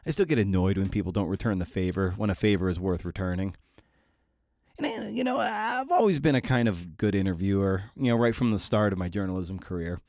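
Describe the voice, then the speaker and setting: A man's voice: deep voice, animated, brisk, a little mellow, dry recording, studio quality. A man hosting a podcast, talking casually in an informal, slangy and effusive way. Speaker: podcast host and interviewer. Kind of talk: casual podcast chat and monologue.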